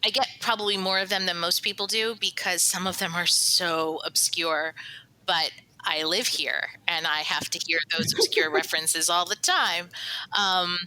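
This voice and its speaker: A woman with a lowish, very bright and forward voice chats casually. She speaks as a cast member in a relaxed group interview. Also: normal speed, poor audio. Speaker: cast member of an audio drama.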